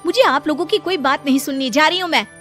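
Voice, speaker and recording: Woman voicing schoolteacher in her thirties, defensive, exasperated, high pitch. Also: fast, a bit bright, faint music behind. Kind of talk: cartoon voice acting.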